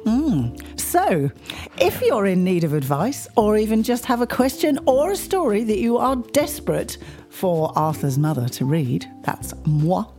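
Woman, sixty, British accent, lively swooping intonation, snuffly cold, casual podcast banter, mock-indignant. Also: medium pitch, unhurried, faint music behind. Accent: British English. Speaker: podcast co-host, mother of the host. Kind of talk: casual chat.

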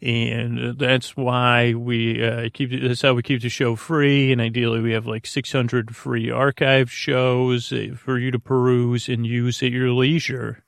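A man with a creaky, gravelly voice talks in a flat, lulling way, like a friendly bedtime podcast host. He meanders, stops and restarts, and drifts off mid-thought. The sound is close and dry. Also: mid-range voice, dry recording. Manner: meandering, halting, repeats and trails off mid-thought. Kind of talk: rambling bedtime monologue to help listeners sleep.

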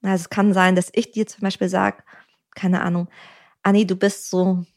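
A woman's voice: on the low side, flat delivery, normal speed, darkish tone. A woman therapist in a podcast conversation, speaking reflectively, with frequent ums and everyday examples. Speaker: couples therapist.

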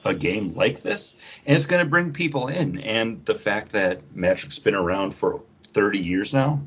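A man with a lowish, rough-edged husky voice co-hosts a podcast. He talks casually, like an enthusiastic fan sharing news. The sound is a bit dull and lo-fi. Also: animated, moderate pace, fairly bright tone. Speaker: podcast co-host. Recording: dull lo-fi recording.

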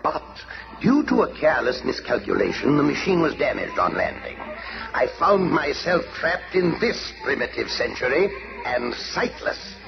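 A grandiose time-traveling tyrant who rules as a pharaoh, boasting in sweeping, showy swings of pitch. His voice is gravelly and a bit high. The old cartoon recording is dull, with music underneath. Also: sing-song, normal speed. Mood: boastful. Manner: pompous, boastful monologue. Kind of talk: 1960s cartoon voice acting.

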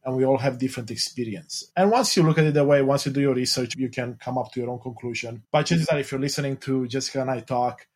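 A man from Romania hosts a podcast conversation in a husky, slightly breathy voice. He talks in a reflective way, working through his ideas in long searching sentences. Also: medium pitch, quick pace, few pauses, darkish tone. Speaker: podcast host. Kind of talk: casual interview chat.

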